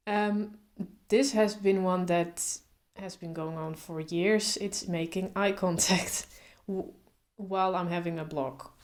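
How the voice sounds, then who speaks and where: A woman vlogger talking to camera in a calm, reflective way, stuttering openly with blocks and repeated sounds. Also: on the low side, slow pace, few pauses. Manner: calm, reflective, thinks aloud with fillers. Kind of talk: casual talk to camera.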